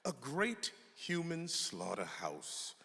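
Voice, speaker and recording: A man's voice: fairly high pitch, wildly swooping pitch, slow pace, vocal fry, pushed and bright, breathy edge. A man giving a dramatic reading of a formal historical text in an emphatic, oratorical way. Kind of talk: dramatic reading of an 18th-century pamphlet. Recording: long hall reverb.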